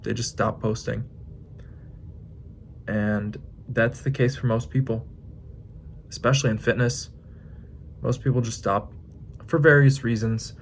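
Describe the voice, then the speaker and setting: A man in his twenties talking through his thoughts in a casual vlog, musing with pauses and restarts. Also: mid-range voice, slight background noise. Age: 20s. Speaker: fitness vlogger. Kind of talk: reflective casual vlog monologue.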